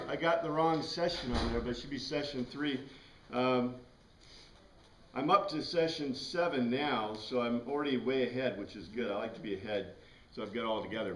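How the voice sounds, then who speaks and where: A middle-aged man with an American accent giving an informal classroom lecture, rambling conversationally and putting questions to the class. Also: mid-range voice. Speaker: class teacher. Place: small classroom with whiteboard and bookshelves. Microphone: far camera across the room. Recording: echoey room, distant mic, background noise.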